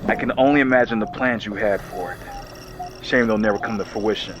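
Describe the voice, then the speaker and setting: An actor playing a ruthless, pragmatic enforcer in a sci-fi horror audio drama, wry and coldly mocking. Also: male voice, fairly low pitch, brisk, over music, lightly acted. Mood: smug.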